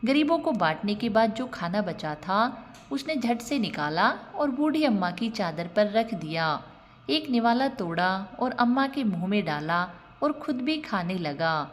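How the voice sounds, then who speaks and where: A woman narrating a scripted moral story in an expressive, sentimental way. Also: medium pitch, a little slow. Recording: long reverb on the voice.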